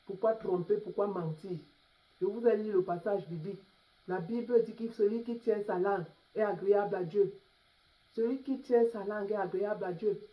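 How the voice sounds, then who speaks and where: A man preaching an urgent sermon, repeating warnings and firing rhetorical questions. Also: high-pitched. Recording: slightly dull lo-fi recording.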